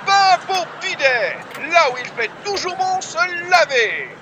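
Radio pitchman, singsong, swooping pitch, slow, old-time commercial, clear background noise. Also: very animated, exaggerated voice. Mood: cheery.

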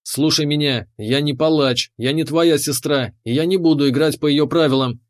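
A man's voice: a little high, moderate pace, no room sound, lightly acted. Man as a determined, protective engineer hero. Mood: firm. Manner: firm, urgent, often hushed. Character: determined male engineer hero, protective, defiant, afraid for her. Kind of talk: audiobook character voice.

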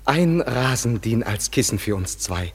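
Slow, husky, tender young lover. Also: male voice, mid-range voice, animated. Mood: ardent, devoted. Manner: slow, earnest verse declamation. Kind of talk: classic German radio play acting.

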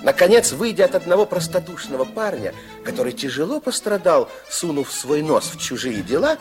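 A man's voice: fairly high pitch, sing-song, fairly quick. A man playing a playful fairy-tale narrator in an old radio play, reading scripted storybook narration. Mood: playful, wry. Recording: old radio drama with music.

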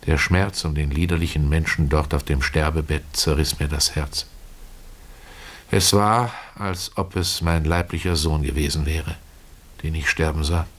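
A man with a low voice narrates a radio play as its first-person storyteller. His delivery is literary and expressive. He moves deliberately through the phrases and leaves pauses between them.

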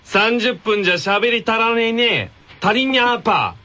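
A man as a radio show guest, chatting comically and clowning with mock gruffness. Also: extremely high pitch, expressive, moderate pace, sharp tone, slight background noise. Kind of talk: casual comedic radio chat.

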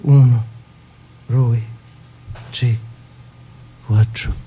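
An actor playing a naive, tender man from the margins recalling his childhood in a Sicilian accent, in a halting, childlike theatrical radio monologue. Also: mid-range voice, fairly even intonation, dark tone, breathy edge. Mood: plain, reflective.

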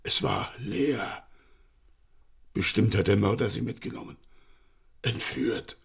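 A man's voice: lowish voice, steady tone, slow, creaky, husky, exaggerated voice. An actor playing an old, frightened male servant in a radio play, agitated and stammering as he tells what happened. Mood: anxious. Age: older. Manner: agitated, tumbling nervous speech with stammers. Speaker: servant of a baron.

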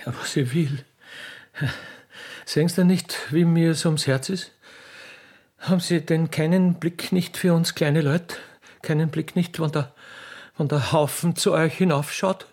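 A male actor plays a mature Viennese widow of a butcher, rich, blunt and coquettish. The voice sits a little high for a man, with folksy, halting turns and an insistent wooing manner, in theatrical comic radio-play style. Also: fairly even intonation, a little mellow. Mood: pleading.

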